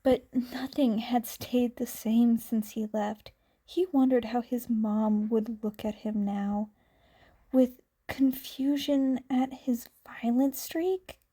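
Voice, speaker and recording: A woman reading a fan fiction story aloud in a quiet, calm way. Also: a little high, takes it slowly. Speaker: podfic reader.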